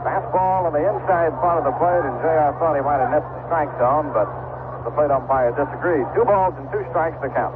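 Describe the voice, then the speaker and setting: Guy calling baseball on old radio, thin noisy sound, kinda high voice, measured with little asides. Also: phone-quality sound. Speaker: baseball play-by-play announcer. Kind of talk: live radio sports commentary. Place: outdoor ballpark broadcast. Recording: noisy vintage 1970s AM radio broadcast.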